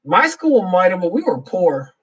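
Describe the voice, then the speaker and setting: A man's voice: high-pitched, sing-song, moderate pace, fairly bright tone, husky, far from the mic, lo-fi sound. A man hosting a casual live Q&A, rambling through his answers and reading viewer comments aloud. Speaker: livestream host.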